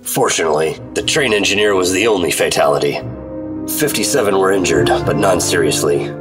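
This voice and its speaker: A man's voice: deep voice, lively intonation, brisk, distant mic. An actor playing a steely 1950s government agent, narrating his adventures in a clipped, dramatic, hard-boiled radio style. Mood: sober, resolute. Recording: loud music under the voice.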